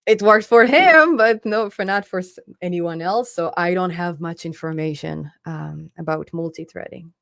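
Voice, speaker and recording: A woman's voice: lowish voice, moderate pace, creaky, few pauses, a bit bright, no echo, studio quality. A young woman in her twenties hosting a live stream, chatting casually and upbeat as she reads out viewer comments, laughing often.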